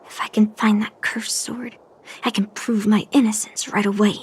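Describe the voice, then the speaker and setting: A woman's voice: mid-range voice, little pitch movement, normal speed, a little mellow, breathy edge. A woman voicing a determined, earnest young woman in a video game, thinking through her situation aloud. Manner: earnest, pondering. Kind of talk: scripted video game dialogue acting. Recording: music behind the voice.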